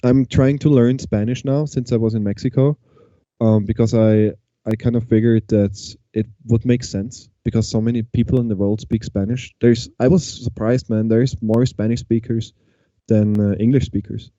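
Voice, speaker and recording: A man with an Austrian German accent, talking in English with a very dark, mellow tone and a lowish pitch. His sound is dull and lo-fi, and it is dry and close. He chats loosely, restarting his sentences often. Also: fairly even intonation, moderate pace, no room sound. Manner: chatty, frequent restarts and fillers. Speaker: guest musician. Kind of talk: casual interview chat.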